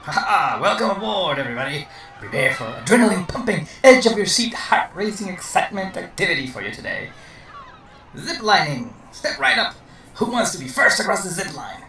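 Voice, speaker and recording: A man playing a jovial, loud activities director in an audio comedy, with showy carnival-barker patter. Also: sits high, very animated, fairly quick, slight background noise, heavily acted. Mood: hearty, showy. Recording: echoey, distant, crowd behind.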